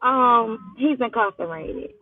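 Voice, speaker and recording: A woman's voice: a little high, animated, slow pace, crowd noise. Flustered young woman on a phone line. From Louisiana. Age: 20s. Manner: flustered, evasive, slangy. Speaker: caller. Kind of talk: casual phone chat. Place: phone call from a parked car. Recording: muffled, phone-like call audio.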